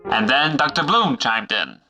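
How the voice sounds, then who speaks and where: A man's voice: mid-range voice, sing-song, unhurried, poor audio. A man reading scripted dramatic narration for an adventure story. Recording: music behind the voice.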